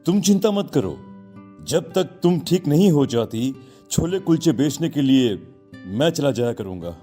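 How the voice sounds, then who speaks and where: A man playing a poor, worried husband turned gentle ghost in a cartoon moral story, speaking earnestly and emotively. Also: fairly high pitch, lively intonation, a little slow, soft background music. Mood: reassuring. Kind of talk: Hindi animated moral story dialogue.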